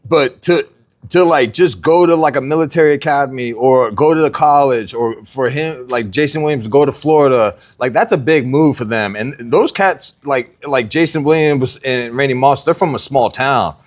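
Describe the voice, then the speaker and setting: A man's voice: medium pitch, normal speed. A man co-hosting a podcast, musing in casual chat and asking questions. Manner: conversational, asks questions, musing. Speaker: podcast co-host.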